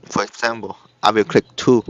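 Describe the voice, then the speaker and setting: A man narrating a step-by-step software tutorial in short, halting instructional phrases. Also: on the low side, little pitch movement. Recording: dull lo-fi computer recording. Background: screen-recorded tutorial voice-over.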